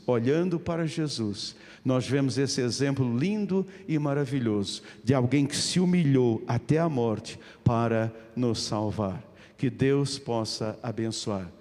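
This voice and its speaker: A man's voice: mid-range voice, darkish tone. A middle-aged man with a European Portuguese accent preaching an evangelical sermon as a pastor, addressing his listeners directly with emphatic repetition. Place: large church hall, live service. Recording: long hall reverb of a church.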